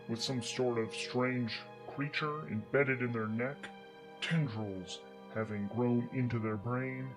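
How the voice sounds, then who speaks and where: A man's voice: mid-range voice, low-quality recording. A man narrating a solo tabletop game as host and game master, in a conversational storytelling manner.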